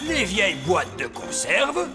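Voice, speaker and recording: A wise old elf from a children's cartoon, proud and didactic. He speaks slowly and deliberately with animated intonation. Music plays clearly behind the voice. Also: high-pitched, full character voice. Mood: explaining. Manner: didactic, self-important.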